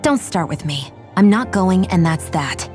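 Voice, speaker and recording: A woman voicing a stern, sharp-tongued young gunslinger in a video game, curt and scolding. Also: fairly low pitch, lively intonation, a little slow. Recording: game audio over music.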